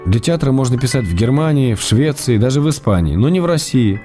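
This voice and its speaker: An actor playing a wry, fond playwright reading out his letters and telegrams in a radio play, in a measured manner. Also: medium pitch, animated, warm tone, soft background music, close to an everyday voice. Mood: chiding, weary. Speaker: actor in an audio drama.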